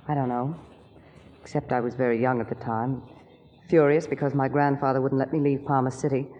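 A woman's voice: rumbling low, flat delivery, a little fast, muffled recording. An actress playing a guarded, unhappy young lady of a wealthy estate in 1940s radio drama, composed and evasive. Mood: wistful. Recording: faintly noisy 1940s radio recording.

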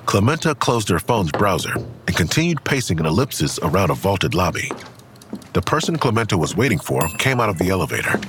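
An actor narrating a dark suspense thriller in a scripted audio drama. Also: male voice, low-pitched, very animated, fairly quick, a little hiss or hum. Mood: suspenseful.

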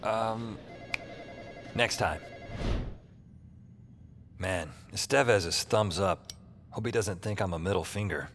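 An actor playing a wry, world-weary hotline worker, delivering slangy deadpan lines and narration in an audio drama. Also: male voice, fairly low pitch, slow, pauses often, faint music behind. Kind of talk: audio drama dialogue and first-person narration. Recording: noisy ambience behind the voice.

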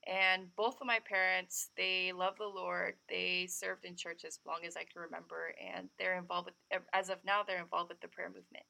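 A woman's voice: lowish voice, flat delivery, normal speed, creaky, few pauses, bright, forceful tone, low-quality recording. A young adult woman from California, giving her personal testimony as a podcast guest in a chatty way, with lots of "like". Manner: chatty, sprinkled with "like". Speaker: guest missionary.

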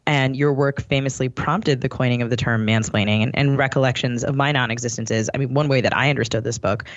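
A man's voice: mid-range voice, steady tone, fast, no room sound, dull audio. A man co-hosting a podcast and putting a prepared interview question to a guest.

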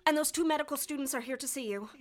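Radio-drama nurse played by a woman: dutiful, high-voiced, reverberant. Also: very fast, close to an everyday voice. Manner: polite, respectful reporting. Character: dutiful young hospital nurse. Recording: long reverb.

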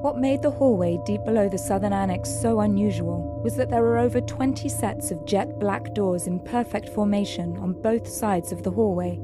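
A woman narrating a scripted audio drama in a measured, descriptive storytelling manner. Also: medium pitch, over music.

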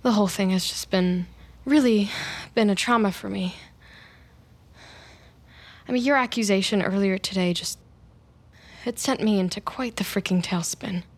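A woman's voice: mid-range voice, moderate pace, pauses often, husky. An actress playing a nervy, scheming female office worker with a sly edge in an audio drama. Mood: flustered. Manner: chatty, hesitant, mild minced swearing. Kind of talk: naturalistic audio drama acting.

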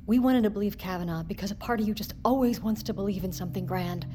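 An actress playing an outraged, defiant crew member in an audio drama, confrontational, sarcastic and emphatic. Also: female voice, mid-range voice, fast, close to an everyday voice. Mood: weary, resolute.

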